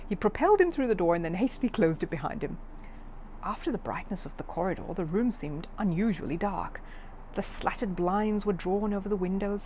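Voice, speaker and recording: A woman narrating a children's storybook in an expressive reading style. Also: medium pitch, a little hiss or hum, poor audio.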